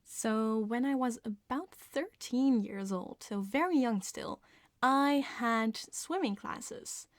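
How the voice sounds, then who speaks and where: Female ASMR storyteller, casual and confessional, unhurried delivery with a light giggly touch, very dry sound. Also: fairly high pitch, no room sound.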